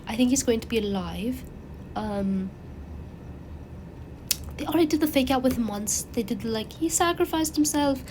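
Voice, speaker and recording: A woman with a cold, her voice raspy and congested, chatting casually and rambling animatedly as the host of her own channel. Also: mid-range voice, slight background noise, poor audio. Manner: rambling, animated, frequent fillers and restarts.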